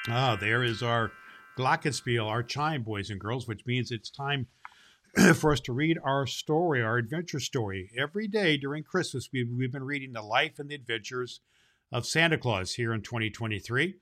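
A man playing jolly old Santa Claus as host of a children's show, talking to the kids in a folksy, emphatic, halting way. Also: mid-range voice, fairly bright tone. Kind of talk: unscripted address to children.

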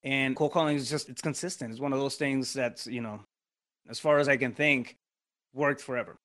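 A man's voice: medium pitch, fairly even intonation, fairly quick, a bit bright. Mexican-accented man explaining business steps. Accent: Mexican Spanish. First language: Spanish. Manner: explanatory, lays out steps, frequent tag questions. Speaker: podcast guest, real estate investor. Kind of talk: casual interview talk.

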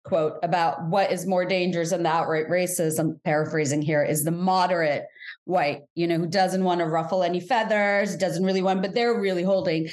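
A woman from Bangladesh hosting an interview, speaking in an animated, passionate way and laughing as she talks. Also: fairly low pitch, moderate pace. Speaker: interviewer, host. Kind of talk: conversational interview.

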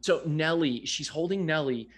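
Man, podcast host, loud, talkative, frequent laughter, casual interview. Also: on the high side, moderate pace.

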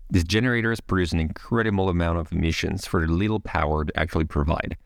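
A man hosting a podcast, reading a scripted introduction and then asking interview questions in measured, formal phrasing. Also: sits low, vocal fry, dry recording, clean studio sound. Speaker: podcast host.

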